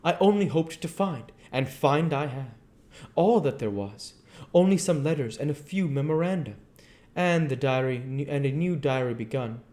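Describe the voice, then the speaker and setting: A man voicing an elderly foreign professor, speaking in earnest, halting phrases at a raised pitch. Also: expressive, darkish tone, close to an everyday voice.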